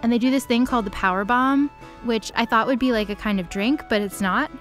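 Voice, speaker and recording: A woman's voice: fairly high pitch, brisk, faint music behind. A woman co-hosting a comedic story recap, chatting casually with slang and sarcastic asides.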